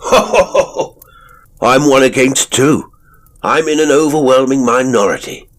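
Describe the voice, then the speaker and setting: A man voicing a flippant, cocky young Victorian gentleman for an audiobook, with a mocking, rhetorical way of talking. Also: medium pitch, measured pace, voice pushed up, close to an everyday voice. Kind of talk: audiobook character acting.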